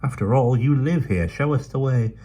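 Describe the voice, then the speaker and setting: A man's voice: medium pitch, moderate pace, warm tone, pitched above the performer's own voice, close to an everyday voice. A man voicing a plucky, chatty London street girl with broad cockney-style dialect in an audiobook. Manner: bold, chatty, upbeat. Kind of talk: audiobook character voice.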